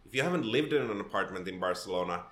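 Casting: Finnish-raised man in his thirties, very low voice, thoughtful guest talk, roomy echo. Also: expressive, quick pace, fairly bright tone. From Finland. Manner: thoughtful, with frequent restarts and fillers. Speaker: podcast guest. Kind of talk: conversational interview.

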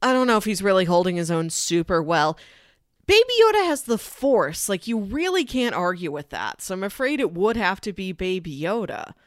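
A woman's voice: medium pitch, sing-song, normal speed, no echo, clean studio sound. A woman co-hosting a podcast, talking casually about films with a conversational, playful storytelling manner. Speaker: podcast co-host. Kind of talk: casual film discussion.

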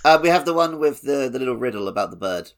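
A man's voice: mid-range voice, animated, fast talker, creaky. A man chatting casually as a co-host on a gaming podcast.

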